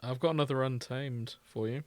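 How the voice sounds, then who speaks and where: A man's voice: mid-range voice, fairly even intonation, brisk, a crowd in the background. A man co-hosting a podcast in casual chat, with a wry, self-deprecating manner. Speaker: podcast co-host.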